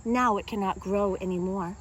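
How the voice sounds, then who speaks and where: A woman reading a picture book aloud to young children as a kindergarten teacher, in an expressive, teacherly way. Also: medium pitch, moderate pace, slight background noise. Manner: expressive, simple teacherly delivery with questions to young listeners.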